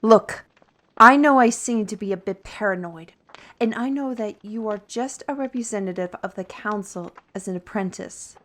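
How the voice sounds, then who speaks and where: A woman playing a weary, irritable, paranoid artist with magical abilities in a fantasy audio drama, grumbling and halting. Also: medium pitch, a bit bright, slight background noise, close to an everyday voice. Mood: exasperated, defensive.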